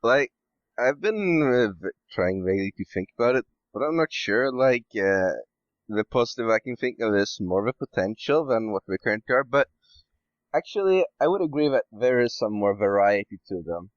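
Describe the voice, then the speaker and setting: A man speaking as a podcast guest, tentative and halting as he thinks through his opinion in casual discussion. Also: mid-range voice, expressive, dry recording, lo-fi sound.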